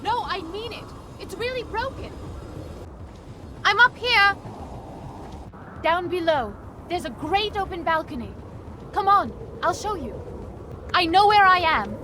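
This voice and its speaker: A woman's voice: very high-pitched, big pitch swings, a little slow, pauses often, background noise, full character voice. A woman playing a spirited, insistent young princess in a video game cutscene, with earnest delivery and outdoor ambience behind her.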